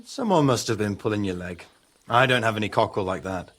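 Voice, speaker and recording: A man's voice: lowish voice, lively intonation, fast talker, fairly bright tone. An actor playing a wary, superstitious village man in a medieval game, telling things in a folksy, hesitant way. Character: wary, superstitious male medieval villager who keeps hens. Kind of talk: dramatic game dialogue acting.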